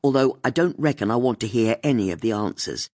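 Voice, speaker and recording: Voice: medium pitch, brisk, darkish tone, no room sound, lightly acted. A narrator voicing a blunt, dry, grumbling middle-aged woman in an audiobook mystery.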